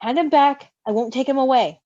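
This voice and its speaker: A woman voicing a gentle, wary, protective male creature in a storybook reading, with a reassuring, dry manner. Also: high voice, a little fast, no echo, muffled recording, natural voice. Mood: coaxing, calm.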